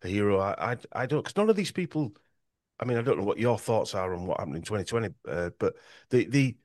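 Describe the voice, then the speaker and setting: Yorkshire male guest, low register, rambling podcast talk, dry booth sound. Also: lively intonation, quick pace, a little mellow, no echo. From Yorkshire, England. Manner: rambling with frequent restarts and stumbles. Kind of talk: podcast conversation.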